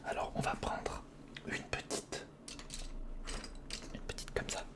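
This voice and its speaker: A man whispering in a breathy, unvoiced ASMR style, giving informal and slightly hesitant build-along commentary close to the microphone. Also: slow pace. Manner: hesitant, informal, muttering to the listener. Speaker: ASMR video host. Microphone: close recorder microphone.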